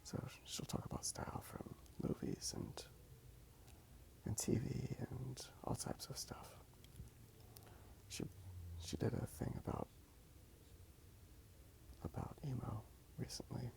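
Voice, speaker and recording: A man speaking softly in a casual, rambling ASMR style as he draws. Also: mid-range voice, big pitch swings, slow, gravelly, pauses often. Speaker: ASMR video creator. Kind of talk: whispered ASMR rambling while drawing.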